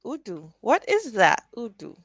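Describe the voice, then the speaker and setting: A woman tutoring English over a video call, speaking slowly and patiently and asking simple questions. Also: fairly high pitch, wildly swooping pitch. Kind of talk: casual tutoring conversation.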